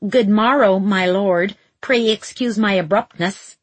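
Woman voicing a quiet, husky, restrained man. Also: medium pitch, no room sound, natural voice. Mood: polite. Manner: quiet, measured, formally polite. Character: calm, restrained man protecting his sister.

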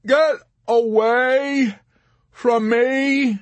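A man's voice: very high-pitched, fairly even intonation, drawn-out words, no room sound, voice pushed up, full character voice. A man voicing a hysterical, terrified airman in an audiobook, shrieking and beseeching in frantic frustration. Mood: exhausted pleading. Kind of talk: audiobook character acting.

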